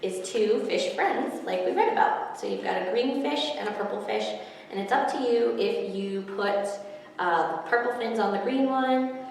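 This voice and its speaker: A woman's voice: medium pitch, moderate pace, vocal fry. A woman in her thirties hosting a children's story time, reading expressively with casual asides. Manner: expressive, speaking to young children. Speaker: children's librarian reading picture books. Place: indoor room before a forest backdrop. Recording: echoey room, distant mic, faint noise.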